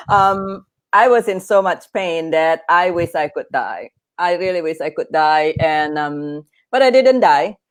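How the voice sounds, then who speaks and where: An earnest middle-aged woman, Indonesian by birth, speaks in a somewhat low voice. She chats as a podcast guest, filling her sentences with ums and restarting her thoughts. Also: low-quality recording. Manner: earnest and chatty, many fillers and restarts. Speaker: guest, veterinary oncologist and grief coach. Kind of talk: podcast interview.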